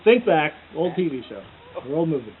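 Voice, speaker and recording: A man giving a theatrical, melodramatic dramatic reading of a spooky tale, deliberate and full of drawn-out pauses, recorded outdoors. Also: a little high, sing-song. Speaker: amateur performer reading poetry aloud. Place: outdoors at an evening party. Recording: muffled phone-like outdoor recording, loud background noise.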